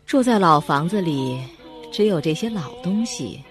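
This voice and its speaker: An actress playing a lonely, mysterious landlady in a horror radio drama, speaking in a measured way. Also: on the low side, expressive. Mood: eerie, knowing. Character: eerie, lonely landlady of an old mansion. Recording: music behind the voice.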